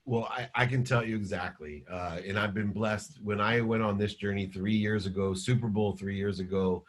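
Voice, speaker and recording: A man in his fifties, a guest entrepreneur giving an energetic motivational interview answer full of repeated phrases. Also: fairly low pitch. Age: 50s. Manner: energetic, repetitive storytelling.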